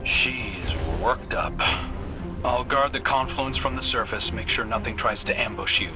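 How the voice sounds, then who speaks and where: A man's voice: medium pitch, unhurried, close to an everyday voice. A man playing an alert, practical scout in a video game, speaking in a plain, matter-of-fact way. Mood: focused, thoughtful. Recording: loud music underneath.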